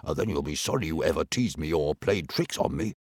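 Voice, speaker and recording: Man voicing a very deep, husky sulky grumbler, dry. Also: lively intonation, fairly quick, a little mellow, dry recording. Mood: grumpy. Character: grumbling male toy, dramatic and sulky.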